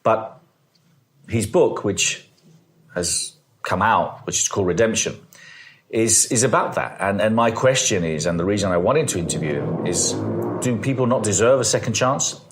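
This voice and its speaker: A man's voice: lowish voice, expressive, moderate pace, a little hiss or hum. A man hosting an interview, earnest and hesitant, with many fillers as he speaks. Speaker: interview host. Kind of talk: intro then interview questions.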